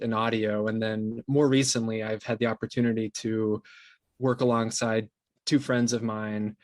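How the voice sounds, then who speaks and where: A man's voice: mid-range voice, dry recording. A young man from Brazil talking casually as an interview guest. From São Paulo, Brazil. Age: young adult. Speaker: podcast guest, composer and sound designer.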